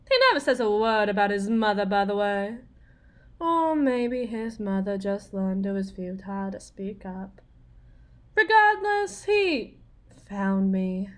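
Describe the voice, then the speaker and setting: A woman playing a desperate, wounded, wry farm wife in an ASMR drama roleplay, with a folksy, sardonic, halting delivery. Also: medium pitch, lively intonation. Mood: bitter, rueful.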